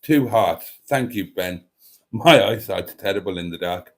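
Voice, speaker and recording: A man hosting a casual live chat and reading out viewer comments, with a wry, deadpan delivery. He is snotty and has a cough. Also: low-pitched, measured pace. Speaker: livestream host reading chat.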